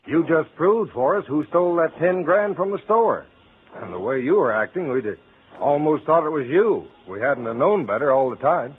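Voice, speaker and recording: A brisk radio cop, fairly high animated voice, 1940s acting style. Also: male voice, narrow, tinny audio. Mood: matter-of-fact. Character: brisk male police detective clearing a suspect. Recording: thin, hissy vintage radio recording.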